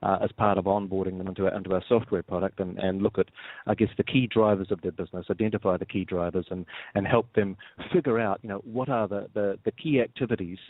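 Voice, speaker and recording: A man with a New Zealand accent and a somewhat low voice, explaining things as an interview guest. He often restarts and repeats words while he thinks. He is heard over a dry, tinny phone line. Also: steady tone, quick pace, dry recording. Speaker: guest, business executive. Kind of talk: radio interview.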